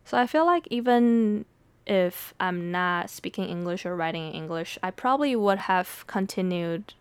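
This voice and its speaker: A young Taiwanese American woman whose first language is Mandarin, talking candidly and thoughtfully on her own podcast, laughing softly between thoughts. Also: lowish voice, lively intonation, moderate pace, clear, resonant tone, no room sound. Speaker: poet and podcast host. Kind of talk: reflective solo podcast talk.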